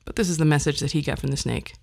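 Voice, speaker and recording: A woman's voice: low-pitched, very fast, vocal fry, a little mellow. A woman hosting a podcast, telling comic personal stories in a playful, conversational way. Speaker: podcast host. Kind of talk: comedic personal storytelling podcast.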